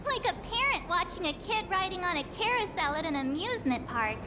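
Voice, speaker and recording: A woman's voice: extremely high pitch, very animated, a little fast, in a large hall, noisy background. A woman voicing a small, perky, cheeky childlike girl companion in a video game, bubbly and teasing. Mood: teasing, gleeful. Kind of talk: animated video game character dialogue.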